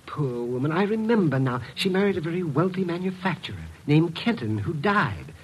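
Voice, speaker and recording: An actor playing a timid, flustered gentleman doctor who dithers and stammers, in 1940s radio style. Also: fairly high pitch, sing-song, a little fast. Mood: shocked, wistful. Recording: noisy vintage radio recording.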